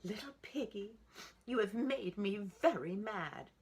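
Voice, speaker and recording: Woman as a fussy, raised-pitch mother pig. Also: very animated, unhurried, vocal fry, a little mellow, slightly rough, heavily acted. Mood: scolding. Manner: emphatic, sing-song scolding.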